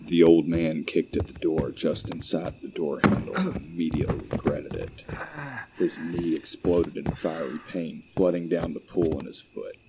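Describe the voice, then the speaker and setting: A man's voice: rumbling low, steady tone, moderate pace. A man reading scripted narration for a horror audio play, with night insects behind him. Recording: faint background noise.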